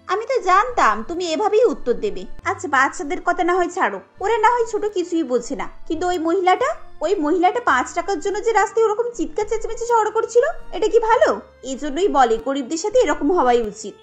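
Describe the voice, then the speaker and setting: A woman's voice: very high-pitched, sing-song, fairly quick, voice pushed up. A woman voicing a spoiled, snobbish young rich daughter in a cartoon, complaining in a disdainful, whiny manner. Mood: scornful, argumentative. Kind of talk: cartoon dialogue acting. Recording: light music under the voice.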